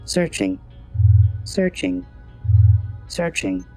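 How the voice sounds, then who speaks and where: A man's voice: a little high, sing-song, drawn-out words, faint music behind, heavily acted. Man voicing a formal corporate AI, lilting intonation. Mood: impassive. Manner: formal, scripted, impassive politeness. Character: polite, obtuse corporate customer-service AI. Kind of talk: automated assistant in audio drama.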